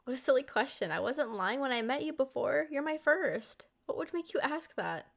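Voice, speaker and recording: A woman voicing a centuries-old, doting and possessive vampire girlfriend in an intimate, coaxing ASMR roleplay. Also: on the high side. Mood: teasing, adoring. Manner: coaxing, affectionate, speaking directly to a lover.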